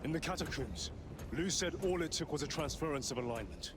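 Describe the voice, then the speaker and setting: An actor playing an earnest, insistent space marine sergeant in a video game, speaking with urgency. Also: male voice, lively intonation, brisk, close to an everyday voice. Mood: urgent, earnest. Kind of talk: dramatic video game acting. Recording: loud background rumble and noise.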